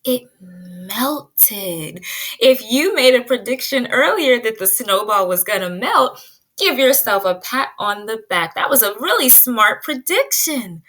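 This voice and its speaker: Woman, preschool teacher, unhurried pace, very lively pitch swings, story read-aloud, drawn-out emphasis, questioning young listeners. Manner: expressive, asks young listeners guiding questions; drawn-out emphasis on key words. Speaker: pre-K teacher. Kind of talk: children's read-aloud lesson.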